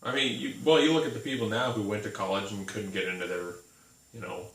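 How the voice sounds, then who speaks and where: A man's voice: lowish voice, steady tone, quick pace, fairly bright tone. A man co-hosting a casual chat, often chiming in with short agreements between his own stories. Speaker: podcast co-host. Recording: echoey room, mic at a distance.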